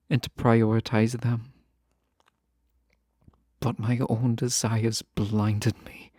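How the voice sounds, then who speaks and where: A man plays a lovestruck fantasy nobleman who talks slowly and haltingly in formal, old-fashioned phrases. He is tender and wistful with a teasing streak. The recording is close and dry, made for a romantic audio drama. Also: mid-range voice, gravelly, a little mellow, dry recording. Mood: remorseful, tender. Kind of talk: romantic audio roleplay acting.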